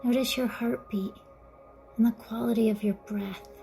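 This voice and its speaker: A woman guiding a mindfulness meditation in a gentle, soothing, softly spoken way, with breathy phrase endings. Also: on the high side, fairly even intonation, normal speed, over music. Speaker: meditation guide. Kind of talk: guided mindfulness meditation narration.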